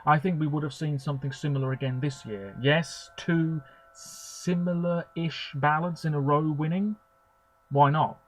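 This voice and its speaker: A man's voice: on the high side, steady tone, a little slow. A man giving a rambling, opinionated commentary in a video blog, chatty, with frequent fillers, talking from home. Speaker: video blogger.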